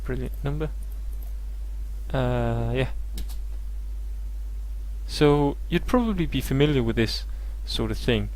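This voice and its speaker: A young man with Danish as his first language explains maths in a loose, unscripted live stream. His voice sits at an ordinary middle pitch. He hesitates a lot, fills gaps with "um" and "yeah", and often restarts his sentences. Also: slow pace, pauses often, darkish tone, poor audio. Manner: hesitant, many fillers and restarts. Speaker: maths tutor and host. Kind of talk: casual live tutorial.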